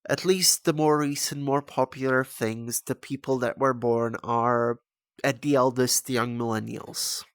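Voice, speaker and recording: A man in his twenties from the Czech Republic, a native Czech speaker, giving a casual, rambling, halting off-the-cuff video commentary as host. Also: mid-range voice, fairly even intonation, smooth, no room sound, studio quality. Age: 20s.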